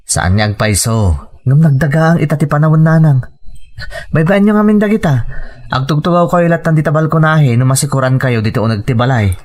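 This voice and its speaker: A man's voice: on the high side, animated, fairly quick, a little mellow, lightly acted. A man playing an earnest, burdened working-class husband with an Ilocano Filipino accent in a sentimental audio drama. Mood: concerned.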